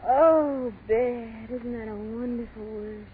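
A brisk, skeptical college student in a vintage radio horror play. Her voice is fairly high and nervy, in 1930s acting style. It comes through a thin, band-limited, noisy old recording. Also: narrow, tinny audio. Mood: dismissive, brisk.

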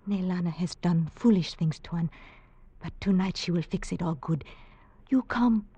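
A woman's voice: fairly low pitch, normal speed, warm tone, slightly rough, no room sound. An actress playing a frightened young island woman who whispers in broken English, in a 1940s radio drama. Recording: dull old radio recording.

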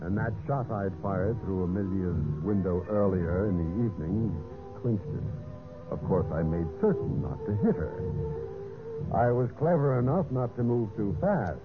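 An actor playing a calculating, smooth-talking suitor in a vintage radio mystery, persuasive and earnest. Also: male voice, fairly low pitch, expressive, a little slow, phone-quality sound, over music. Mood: smug narrating. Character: scheming, smooth-talking male suitor narrating his own crimes. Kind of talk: 1940s-50s radio mystery acting. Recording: noisy band-limited old radio recording.

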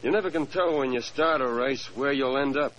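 A man acts out a servile but crafty racetrack grease monkey from a 1940s radio crime play. His talk is slangy and unschooled, full of double negatives, at a middling pitch. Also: brisk, a bit bright, lightly acted, poor audio. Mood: sly, insinuating. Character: sly, servile tough-talking race-track mechanic.

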